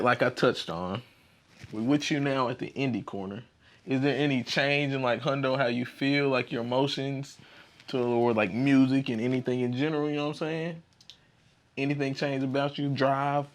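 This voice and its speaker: A man's voice: medium pitch, normal speed. A young man from Arkansas hosting a casual interview, laid back and asking loose, rambling questions. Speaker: interview host.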